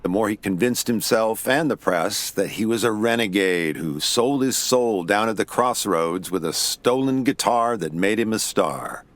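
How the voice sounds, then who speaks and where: A man's voice: lowish voice, dry recording, close to an everyday voice. An actor playing an easygoing, wry man of the seventies rock scene in an audio drama, conversational as narrator and in dialogue. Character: Eddie, easygoing man in the 1970s rock scene, telling a murder mystery. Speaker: narrator and lead character. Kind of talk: full cast audio drama, first-person narration and dialogue.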